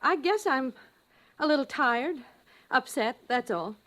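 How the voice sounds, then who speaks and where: A woman's voice: sits high, expressive, measured pace, a bit bright. An actress playing an anxious, hesitant American housewife and mother in an old-time radio drama. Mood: nervous, evasive. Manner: hesitant, stammering restarts. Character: anxious suburban housewife and mother hiding her fear. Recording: noisy vintage radio recording.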